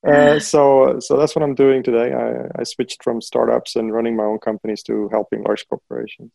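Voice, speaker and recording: Gravelly halting male host. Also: mid-range voice, level tone, mellow, no room sound, low-quality recording. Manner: hesitant, halting with repeated words. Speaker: podcast host, innovation consultant. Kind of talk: hosting an interview. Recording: dry close-mic sound.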